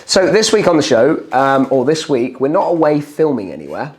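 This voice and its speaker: A man hosting a casual chat, his voice croaky, often repeating himself and restarting. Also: mid-range voice, very animated, normal speed, a little mellow. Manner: rambling, repeats words and restarts. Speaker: host.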